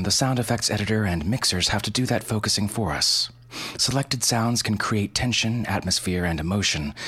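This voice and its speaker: A man's voice: on the low side, fairly bright tone, husky. A man hosting a podcast, narrating scripted instructional material in a casual, irreverent way. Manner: casual, irreverent, mild swearing. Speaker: podcast host.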